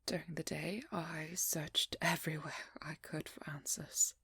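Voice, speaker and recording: A woman playing a guarded, protective young vampire hunter in a hushed, breathy ASMR roleplay, speaking slowly with halting pauses close to the mic. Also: low-pitched, level tone, no room sound, full character voice. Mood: wistful, pained. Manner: slow, halting, intimate delivery with pauses. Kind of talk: whispered ASMR roleplay, dramatic monologue. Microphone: close microphone, dry.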